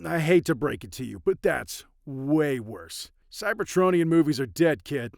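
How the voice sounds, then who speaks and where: A man's voice: fairly high pitch, expressive, a little slow, gravelly, dry recording, studio quality. A man playing a blunt, world-weary movie agent in a comic dub, with a matter-of-fact way of talking. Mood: blunt, consoling. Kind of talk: animated comic dub acting.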